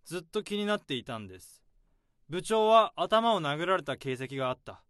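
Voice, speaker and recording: A man as a calm, methodical office sleuth. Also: on the high side, moderate pace, clean tone, no echo, studio quality. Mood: accusing. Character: overworked male office worker turned sleuth. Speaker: office worker. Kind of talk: radio drama acting.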